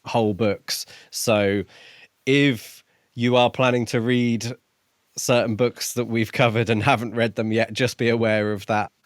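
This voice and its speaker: A man co-hosting a podcast, discussing books casually in a measured, orderly way. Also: medium pitch, dry recording, studio quality. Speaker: podcast co-host.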